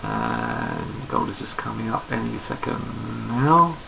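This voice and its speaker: A man with a gravelly, croaky voice walks through trading charts. He is losing his voice and sounds hoarse. He speaks at a relaxed pace, thinking aloud and repeating himself as he goes. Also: medium pitch, slow, noisy background. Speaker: trading analyst. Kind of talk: screen-recorded market chart commentary.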